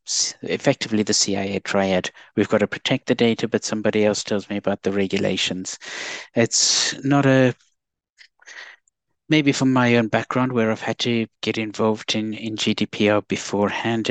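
South african guy on a podcast, low voice, pretty flat delivery, dry sound. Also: no room sound. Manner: measured, restarts words, lists points. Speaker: guest expert. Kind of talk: podcast interview.

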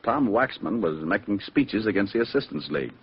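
An actor playing a wry, deadpan, tough American private detective and narrator in a 1940s-style radio drama. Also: male voice, on the low side, brisk, breathy edge. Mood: wry, smug. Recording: dull vintage radio recording, music underneath.

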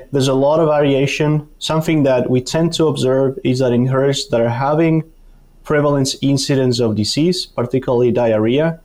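A man from Argentina with a Spanish-speaker's Argentine accent talks as an expert guest. His pitch sits in the middle, and he explains in a halting way, often repeating small words as he builds each point. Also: a little mellow. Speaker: guest, university dairy researcher. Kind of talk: explanatory interview talk.

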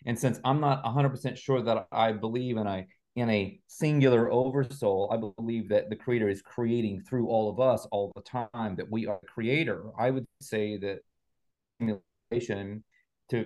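A man's voice: fairly low pitch, a little mellow. A man speaking as an interview guest, explaining conversationally and carefully qualifying his points like a teacher.

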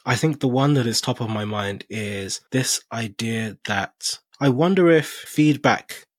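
A man's voice: mid-range voice, measured pace, no room sound. A man hosting a podcast, thinking aloud in a reflective, conversational way with long exploratory sentences. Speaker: podcast host.

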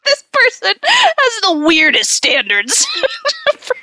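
A woman hosting a story-reading show, switching between expressive narration and slangy, sarcastic casual asides. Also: very high voice, slow, noisy background. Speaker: podcast host reading horror stories aloud. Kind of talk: story read-aloud mixed with casual banter.

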